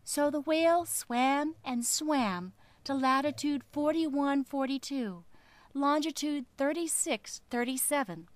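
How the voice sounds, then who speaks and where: Animated, high-voiced woman storyteller. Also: no room sound. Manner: deliberate, rhythmic, dramatic. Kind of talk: expressive children's story narration.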